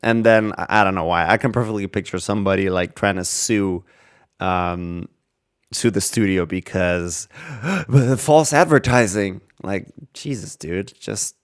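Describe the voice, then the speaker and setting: A man with a low, smooth and clear voice gives casual reaction commentary for a gaming channel. He rambles along, thinking aloud as he goes. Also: unhurried. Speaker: gaming video creator reacting to a trailer.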